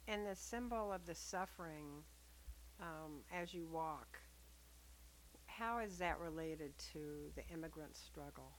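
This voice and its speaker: A woman with a somewhat low voice asks short, curious questions as the interviewer. A faint hiss sits behind her. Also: takes it slowly, rough recording.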